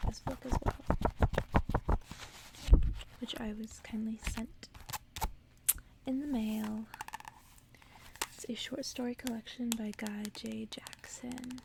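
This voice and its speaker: A woman whispering close to the mic in a hushed, soothing ASMR style, as if reading and chatting someone to sleep. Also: a little high, steady tone, creaky. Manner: hushed whispering, soothing slow repetition of phrases. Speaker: ASMR host and book reader. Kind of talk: whispered ASMR, casual chat and bedtime story reading. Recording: faint background noise.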